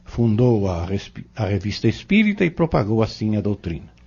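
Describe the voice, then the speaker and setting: A man's voice: on the low side, very animated, moderate pace, creaky, a little mellow, husky, heavily acted. A man playing a learned, patient spiritist mentor in an audio novel, speaking formally and didactically with a Brazilian accent. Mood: earnest, explanatory. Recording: dull lo-fi audio drama recording.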